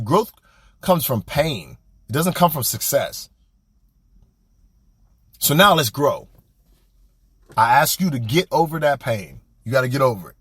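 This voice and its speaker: Animated, husky-voiced man preaching motivation. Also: mid-range voice, no echo, studio quality. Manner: animated, preachy, blunt and colloquial. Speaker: podcast host. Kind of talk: motivational monologue.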